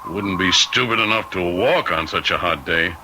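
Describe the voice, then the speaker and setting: A man acting in an old-time radio drama as a cocky, dismissive veteran highway patrolman with a blunt, teasing way of talking. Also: normal speed, natural voice. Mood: laconic. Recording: noisy old radio drama recording, vehicle sounds.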